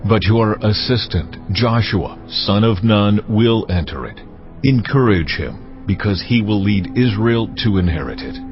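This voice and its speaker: An actor voicing a stern, solemn God in a dramatized scripture reading, speaking in measured, commanding pronouncements. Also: male voice, on the low side, lively intonation. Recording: dull sound with music underneath. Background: music.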